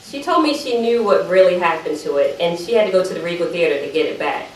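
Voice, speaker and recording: A woman's voice: lowish voice, expressive, fast talker, pitched below the performer's own voice, close to an everyday voice. Woman as teenage sister making excuses to Mama, apologetic yet talkative, dramatic reading in a reverberant room with faint noise. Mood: pleading, evasive. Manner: defensive, apologetic, chatty digressions. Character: evasive teenage sister pleading her case to Mama. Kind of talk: dramatic monologue read aloud at a live event. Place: live event room, recorded from a distance. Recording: roomy, distant microphone, faint background noise.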